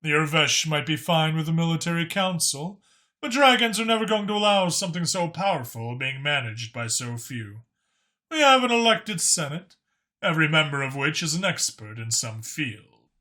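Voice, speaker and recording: Man voicing a haughty, exasperated dragon spymaster, pitched up. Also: expressive, normal speed, sharp tone. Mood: lecturing, patient. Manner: precise, haughty, sardonic. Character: proud, exasperated male dragon spymaster, formal and dry.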